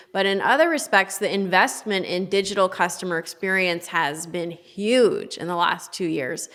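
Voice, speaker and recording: A woman's voice: mid-range voice, a bit bright. Upbeat woman hosting a podcast. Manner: upbeat, eager. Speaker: podcast host. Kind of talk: podcast interview.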